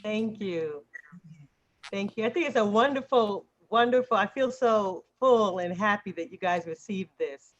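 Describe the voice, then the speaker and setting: A woman's voice: mid-range voice, lively intonation, a little slow. Woman giving thanks, muffled call sound. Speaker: acting coach. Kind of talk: informal thanks and closing. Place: group video call. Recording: dull video-call audio.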